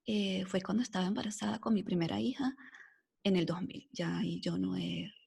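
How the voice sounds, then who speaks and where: Woman in her forties, mixed Venezuelan accent, gravelly, husky, chatty podcast guest. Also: medium pitch, brisk. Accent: mixed Venezuelan Spanish, softened by years in the US. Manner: animated storyteller, frequent fillers like "este" and "o sea". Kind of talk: casual storytelling interview.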